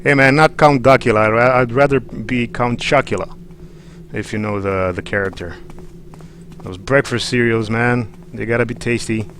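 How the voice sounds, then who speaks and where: A man's voice: medium pitch, steady tone, normal speed, a bit bright. A man from Romania, chatting casually and a little hesitantly as he hosts a live game stream on a headset microphone. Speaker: livestream host, gamer. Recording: noisy headset with game sound behind.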